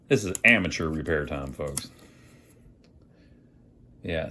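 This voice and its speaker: A man's voice: deep voice, slight background noise. A man hosting a casual hands-on repair tutorial, thinking aloud in a folksy way as he works. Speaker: host of an amateur radio repair channel.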